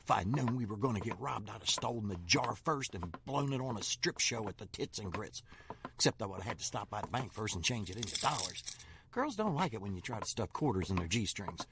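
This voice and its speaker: An actor as a flustered, animated small-town rambler. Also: male voice, on the low side, brisk, noisy background. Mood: rambling, sheepish. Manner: nervous, stammering, folksy rambling. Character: flustered, rambling, dim small-town shop worker, male. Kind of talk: comedic audio drama dialogue.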